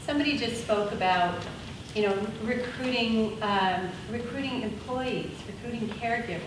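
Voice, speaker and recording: Loud woman presenting in an echoey room. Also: a little high, moderate pace. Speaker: conference presenter. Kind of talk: workshop presentation with audience questions. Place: conference room. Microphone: speaking without a mic. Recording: echoey room, far from mic, noisy.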